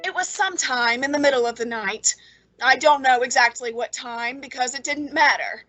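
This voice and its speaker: Girl acting bitter clever woman in an old radio thriller, really high voice, brooding and kinda menacing. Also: pushed and bright, full character voice, poor audio. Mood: cold, contemptuous.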